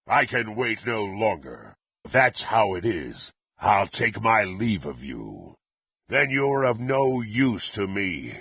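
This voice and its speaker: Fantasy game villain warrior: low, heavily gravelled and husky, brutal and imperious, swinging widely in pitch. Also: male voice, sing-song, slow, a bit bright, no echo, exaggerated voice. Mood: cold, threatening. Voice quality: gravelly, raspy. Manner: threatening, imperious, archaic formal diction. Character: brutal, menacing half-orc warrior serving a demonic patron. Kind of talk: fantasy video game character acting.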